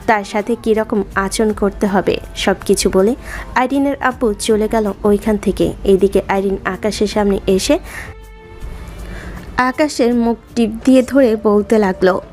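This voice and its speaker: A woman's voice: medium pitch, measured pace, a little mellow. A woman reading scripted dramatic story narration as the narrator. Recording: music under the voice.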